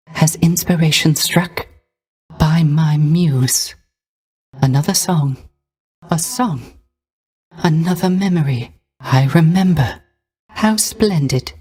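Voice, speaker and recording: A male actor voicing a gentle, melancholy court musician in a video game, with soft, genteel manners and formal, archaic, theatrical phrasing. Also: fairly high pitch, breathy edge. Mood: wistful wonder. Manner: soft, genteel, wistful, ornately polite.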